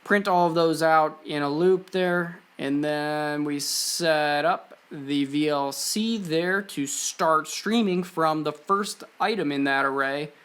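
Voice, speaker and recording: A man with a voice pitched a little higher than average presents a technical tutorial. He talks informally and offhandedly, walking through code one step at a time as a casual explainer. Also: slow pace, few pauses, a bit bright, clean tone. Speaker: presenter of an electronics and coding tutorial. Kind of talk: casual screen-share code walkthrough.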